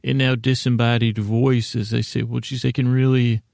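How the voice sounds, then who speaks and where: A man hosting a sleep podcast, delivering a meandering, halting bedtime monologue full of self-interruptions and deadpan, gently silly tangents. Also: medium pitch, vocal fry, no echo, clean studio sound. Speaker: podcast host.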